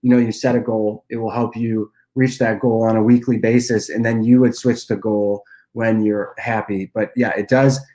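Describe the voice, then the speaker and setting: A young man with a nasal voice from a cold, casually answering viewer questions on a livestream and often clearing his throat. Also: on the low side, moderate pace, hardly any pauses, rough recording. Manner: relaxed, loose delivery with frequent uhs and throat clearing. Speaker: app cofounder and dietitian hosting a Q&A livestream.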